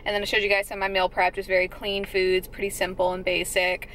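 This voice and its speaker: A woman's voice: mid-range voice, monotone, fast talker, a bit bright, a little hiss or hum, low-quality recording. A woman in her twenties vlogging to a handheld camera from her parked car, chatty and upbeat with lots of fillers. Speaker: fitness vlogger. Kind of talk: casual vlog talk to camera. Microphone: handheld camera close-up.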